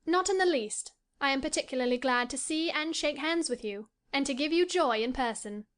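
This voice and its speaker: A woman reading aloud, voicing a polite, eager young lady who speaks in a raised, bright voice. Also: high-pitched, normal speed, pitched above the performer's own voice. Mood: gracious. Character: lively refined young lady, gracious.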